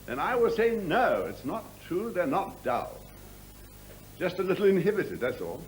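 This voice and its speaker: An actor playing a middle-aged senior military officer in a drama, condescending and pompous, with deliberate, patronising phrasing. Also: male voice, high voice, very animated, low-quality recording. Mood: falsely jovial. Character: condescending senior military officer, falsely jovial, menacing man. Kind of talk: dramatic acting. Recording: clear background noise.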